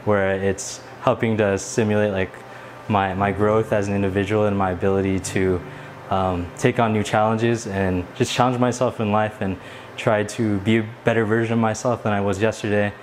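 A man's voice: fairly low pitch, flat delivery, moderate pace, a little hiss or hum. A young man answering interview questions as a student in a university lab, earnest with loose fillers. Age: young adult.

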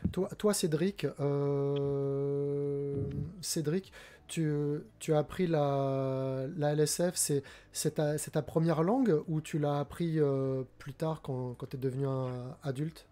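A man's voice: fairly high pitch, fairly even intonation, slow pace, dark tone. A man in his forties hosting a casual live stream, talking with a headset mic at home, hesitant with many fillers and restarts. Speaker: streamer host. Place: home room indoors.

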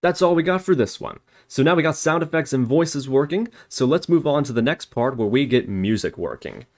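A man's voice: medium pitch, lively intonation, a little fast, darkish tone. A man hosting a casual programming tutorial, talking through each step as he types it. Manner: spells out steps as he types. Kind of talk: casual screen-recorded coding tutorial narration.

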